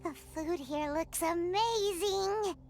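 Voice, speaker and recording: Squeaky, very high little fairy girl. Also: slow pace, darkish tone, full character voice. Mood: delighted. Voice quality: squeaky, childlike. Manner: bubbly, refers to self in third person. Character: tiny excitable floating fairy girl companion, childlike, food-loving. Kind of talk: scripted video game character acting. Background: music.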